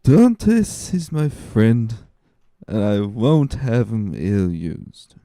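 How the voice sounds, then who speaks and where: A man voicing a good-hearted, stubborn drunk in a dramatic reading, with slurred, drawn-out speech. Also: fairly low pitch, big pitch swings, warm tone, no echo, studio quality, heavily acted. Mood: indignant, drunk.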